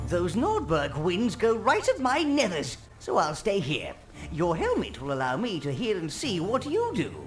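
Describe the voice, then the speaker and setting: An actor playing a wizened, gleefully evil old minion advisor in a video game, with a croaky voice and a theatrical, menacing relish. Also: male voice, high-pitched, huge pitch swings, normal speed, music in the background. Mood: dry instructing. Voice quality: gravelly, croaky. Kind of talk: video game character narration.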